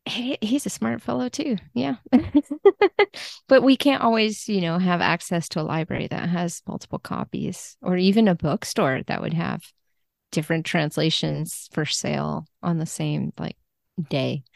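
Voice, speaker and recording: Female interview guest, lowish voice with vocal fry, conversational, closely recorded and dry. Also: normal speed, no echo. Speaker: literary translator, podcast guest.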